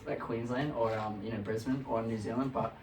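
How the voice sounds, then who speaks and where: Young male, quick casual banter, blunt and slangy, distant roomy mic with faint noise. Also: mid-range voice, fairly even intonation, darkish tone. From Australia.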